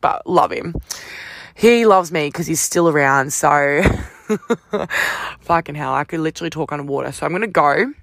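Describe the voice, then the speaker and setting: A woman hosting a casual solo podcast, chatty and upbeat, swearing casually, her voice croaky from talking all day. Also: deep voice, moderate pace, pauses often, a bit bright, no room sound. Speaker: podcast host.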